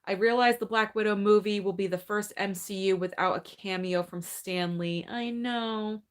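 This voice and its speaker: A woman's voice: mid-range voice, fairly even intonation, moderate pace. A woman in her thirties hosting a casual live movie Q&A at home, chatty and upbeat, reading viewer comments and thinking aloud. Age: 30s. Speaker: movie channel host.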